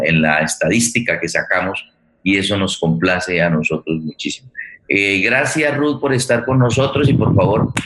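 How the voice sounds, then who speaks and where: A man's voice: sits low, fairly bright tone, low-quality recording. A man with a Colombian accent hosting an unscripted live online show, talking at length and often repeating words as he feels for the next one. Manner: wordy, halting, repeats words. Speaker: host.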